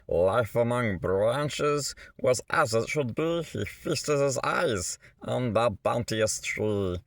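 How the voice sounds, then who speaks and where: A man's voice: medium pitch, expressive, slow pace, dry recording, studio quality. A man reading a rhyming children's picture book aloud in a theatrical, rhythmic way, stretching words for effect. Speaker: storybook reader.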